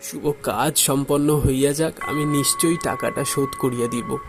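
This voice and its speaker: A man's voice: medium pitch, steady tone, normal speed, gravelly, over music, natural voice. A man playing a pleading, tender father of a bride in an audio story, speaking earnestly. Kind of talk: audio story drama acting.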